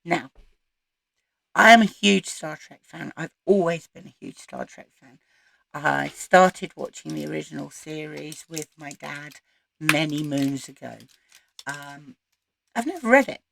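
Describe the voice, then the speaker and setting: A woman with a croaky voice, recovering from voice loss, chatting casually to camera as the host of a book channel. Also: sits low, lively intonation, measured pace, fairly bright tone, a little hiss or hum. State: croaky, recovering from losing her voice. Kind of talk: casual chat to camera about reading plans.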